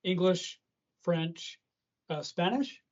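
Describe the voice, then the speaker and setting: A man with a North American accent, conducting a conversational interview in a polite, halting way. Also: high voice, animated. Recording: dull, lo-fi call audio.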